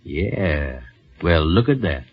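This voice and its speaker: An actor playing a good-natured, slow-witted family friend in 1940s radio style, with a folksy, ungrammatical, puzzled way of talking. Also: male voice, low-pitched, big pitch swings, breathy edge, heavily acted. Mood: curious. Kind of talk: 1940s radio comedy-drama acting. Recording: dull old 1940s radio broadcast.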